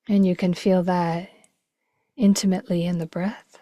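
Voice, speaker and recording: A woman leading a guided meditation slowly, in a gentle, hushed, breathy voice with long pauses. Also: fairly low pitch, little pitch movement, no echo. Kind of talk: guided meditation, slow and spacious.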